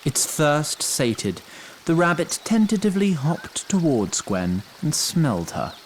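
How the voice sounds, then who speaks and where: A man's voice: a little high, sing-song, a little slow, slight background noise. A man narrating a scripted fantasy story as a dry, literary storyteller.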